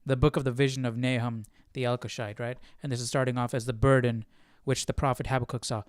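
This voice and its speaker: Guy doing casual bible study stream, thinking out loud, clean smooth voice, dry close mic. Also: medium pitch, little pitch movement, no room sound. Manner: halting, thinking aloud. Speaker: Bible study livestream host.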